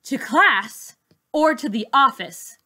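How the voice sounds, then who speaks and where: A no-nonsense woman teacher, as a narrator puts her on in a storybook. She speaks firmly and with attitude, her bright voice steady and commanding. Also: high voice, takes it slowly, no room sound, pitched above the performer's own voice, full character voice. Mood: stern. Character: stern, steady, unflinching new English teacher.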